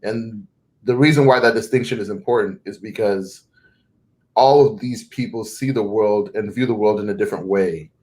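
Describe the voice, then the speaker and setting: A young man with a fairly low voice and a North American accent speaks in an unhurried, conversational way. His first language was not English. He thinks aloud, restarting phrases and correcting himself as he goes. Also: a little mellow. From Zimbabwe. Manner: thoughtful, restarts and self-corrections. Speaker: guest. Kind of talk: conversational interview.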